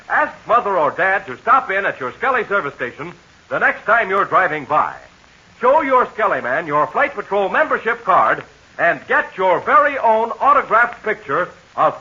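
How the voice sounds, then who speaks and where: A man's voice: sits high, bright, forceful tone, breathy edge. A man announcing a 1940s radio serial with a hearty promotional delivery, then narrating its scene setup. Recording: muffled 1940s radio transcription.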